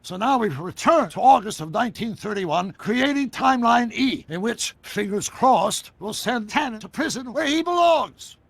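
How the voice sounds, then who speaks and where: An eccentric, aging American scientist from an adventure game. The voice sits high and swings widely, with a gravelly crackle. He explains in long, precise, theatrical sentences, excitable yet secretive. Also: normal speed, few pauses, no echo, heavily acted. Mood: brisk lecturing. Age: older. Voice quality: gravelly, husky. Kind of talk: scripted video game dialogue.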